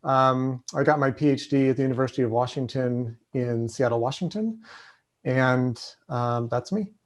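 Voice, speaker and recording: A man hosting an online panel over a video call, talking in a relaxed way with many fillers. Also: medium pitch, moderate pace. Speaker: host, professor.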